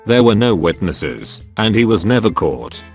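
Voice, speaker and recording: A man with a fairly low voice narrates first-person stories in an even, flat reading manner. Soft music plays quietly under his voice throughout. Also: unhurried. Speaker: narrator reading online posts. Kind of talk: scripted narration. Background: soft background music.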